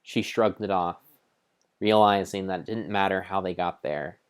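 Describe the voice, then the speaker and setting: A man's voice: low voice, moderate pace, clear, resonant tone. A man giving a solemn, theatrical mock-dramatic narration of a video game, as if reading a grand storybook. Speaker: streamer narrating his game play.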